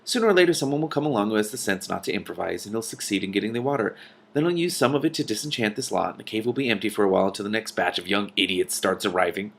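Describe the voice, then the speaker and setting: A man's voice: lowish voice, animated, racing, gravelly. A man voicing a matter-of-fact female dragon from a storybook, explaining things with dry, scornful authority.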